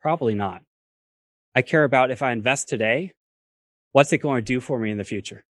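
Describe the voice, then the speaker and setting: Man explaining carefully, mid-pitched, dry sound. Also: a bit bright, dry recording. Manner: measured, reasons step by step with worked examples. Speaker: finance professor, guest expert. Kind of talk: explanatory talk on a livestream. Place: video call.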